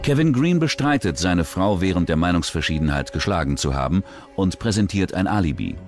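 A man's voice: deep voice, expressive, a little fast. A man reading scripted narration for a true-crime documentary. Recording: music bed under narration. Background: music.

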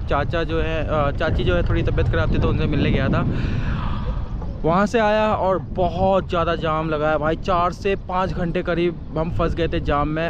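A young man with a high voice chats to his viewers about his trip. He holds the camera at arm's length outdoors, and plenty of outdoor noise runs under his voice. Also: highs cut off. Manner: chatty, addresses viewers as friends. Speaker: vlogger. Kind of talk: casual travel vlog. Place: outdoors by a river camp in hills. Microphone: camera held at arm's length.

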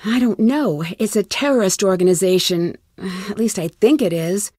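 A woman's voice: medium pitch, moderate pace, dark tone, breathy edge, no room sound, clean studio sound, close to an everyday voice. An actress playing an earnest, determined young female amateur detective in conversational video game dialogue. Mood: intent, urgent. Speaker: lead character in a detective adventure game.

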